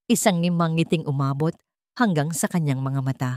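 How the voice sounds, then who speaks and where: A woman's voice: deep voice, normal speed, a little mellow, no echo, studio quality. A woman reading a scripted dramatic story as a storyteller.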